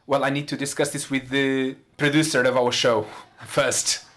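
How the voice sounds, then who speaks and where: A woman's voice: extremely low pitch, flat delivery, normal speed. A woman, a playwright speaking earnestly on a conference panel and repeating words for emphasis. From Portugal.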